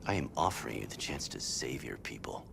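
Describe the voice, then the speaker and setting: Casting: menacing government negotiator for a cinematic game, extremely low gravelly voice, coldly persuasive, rumbling noise behind. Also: male voice, big pitch swings, fairly quick, full character voice. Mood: cold, threatening. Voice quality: gravelly, very deep. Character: hard, menacing male government agent negotiating surrender. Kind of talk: cinematic video game acting.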